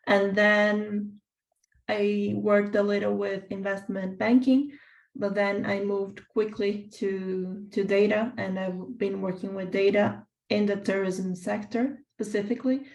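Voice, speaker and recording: A woman's voice: mid-range voice, vocal fry, darkish tone. A woman from Brazil introduces herself lightly as a student, laughing her words off. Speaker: student, industrial engineer. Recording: echoey room, distant from mic.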